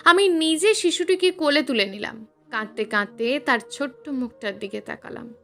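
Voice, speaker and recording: A woman's voice: high voice, lively intonation, moderate pace, fairly bright tone, faint music behind. A woman narrating a scripted suspense story in a dramatic, emphatic storytelling manner.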